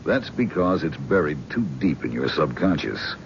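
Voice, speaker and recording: A measured, probing shrink, very low and gravelly voice, old radio play, dull hissy broadcast sound. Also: male voice, sing-song, exaggerated voice. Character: calm probing male psychiatrist, middle-aged. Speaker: psychiatrist. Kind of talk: 1970s radio drama acting.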